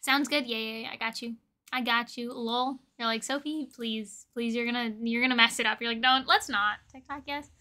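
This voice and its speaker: A young woman talks casually to her livestream viewers. Her voice is very high and bright. She is playful and expressive, with plenty of slang in an informal, chatty flow. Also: normal speed. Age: young adult. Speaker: livestream host. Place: indoor room with desk and shelves of collectibles.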